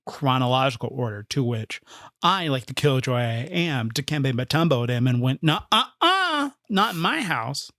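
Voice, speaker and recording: An animated podcast co-host with a widely moving voice talks casually and emphatically. The manner is playful, and the sound is dry and close. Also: medium pitch, normal speed, dry recording. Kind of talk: casual fan podcast chat.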